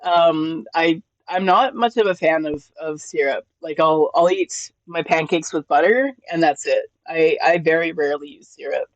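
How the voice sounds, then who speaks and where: A man from California and New Mexico chatting on a live stream while reading chat, halting with many restarts and frequent laughs. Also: fairly high pitch, no echo, low-quality recording. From born in California, raised in New Mexico. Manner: laughs often, easygoing.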